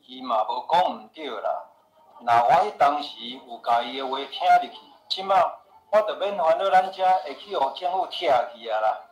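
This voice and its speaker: An actor with a Taiwanese accent playing an earnest, insistent pharmacy owner and worried homeowner in a radio drama. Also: male voice, fairly high pitch, expressive, normal speed, natural voice. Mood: worried, complaining. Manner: persuasive, plain-spoken, insistent. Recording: roomy echo with music behind.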